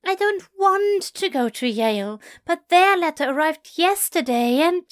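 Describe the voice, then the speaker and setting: A woman voicing a nervous teenage boy who frets and pleads in a high, breathless voice. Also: lively intonation, unhurried, no echo, clean studio sound. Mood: panicky.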